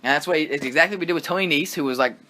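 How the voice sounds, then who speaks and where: A man co-hosting a casual wrestling review podcast, talking with excitement, rambling and swearing freely. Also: fairly high pitch, rapid-fire. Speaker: podcast co-host.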